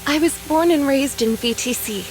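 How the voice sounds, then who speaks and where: A woman's voice: high voice, normal speed, a little hiss or hum, lightly acted, rough recording. A woman voice-acting a proper, saintly young woman in a video game, earnest, polite and precise in her way of speaking. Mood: hesitant, puzzled.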